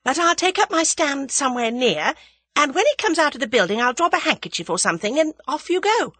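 A woman voicing a bold, impulsive young English woman in a dramatised audiobook, bright and emphatic. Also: sits high, big pitch swings, a little fast, dry recording, pitched above the performer's own voice. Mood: bossy, eager. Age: young adult.

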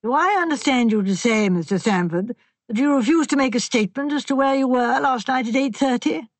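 A woman reads a stern, methodical police inspector, pressing his questions flatly and without emotion. The sound is dry and close, with a slightly raised pitch. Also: fairly quick, no room sound, lightly acted. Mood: probing. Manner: blunt, unemotional questioning.

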